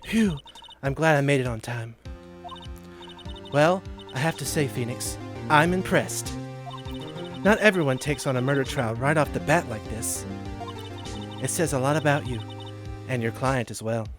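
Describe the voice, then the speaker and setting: A performer voicing a composed, encouraging senior defense lawyer in a courtroom video game, in a measured manner. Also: medium pitch, music in the background, voice pushed down, close to an everyday voice. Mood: composed, curious.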